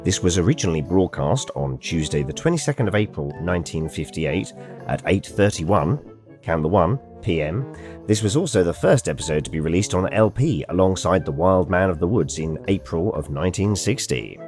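A wry Englishman co-hosting a podcast, teasing his co-hosts in relaxed chat. His voice sits very low. Faint music plays underneath. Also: normal speed. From England. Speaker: podcast co-host. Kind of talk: casual chat.

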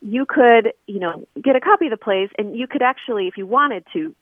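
A woman's voice: a little high, animated, a little fast, dry recording. A woman interview guest, a scholar, explaining things conversationally with examples over a telephone line. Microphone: phone.

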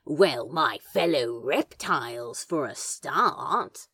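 Woman voicing a husky, low, bashful grumbling man. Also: animated, slow pace, sharp tone, heavily acted. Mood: dry, teasing. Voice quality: husky, growly. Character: male Crowley, bashful grumbling flirt with gruff edge. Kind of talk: audio fiction dialogue.

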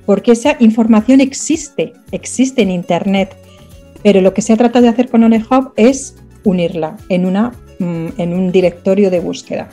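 A woman who is a guest on a radio interview, explaining in a lively way with frequent fillers and restarts. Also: medium pitch, faint music behind. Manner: animated, halting with fillers. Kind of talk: conversational interview talk. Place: radio studio.

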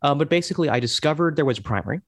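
A man in his thirties from Hong Kong, a guest in a casual talk, speaking haltingly with many restarts and fillers. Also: on the high side, animated, fairly bright tone. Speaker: guest, chair of an overseas Democrats group. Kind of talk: casual conversation.

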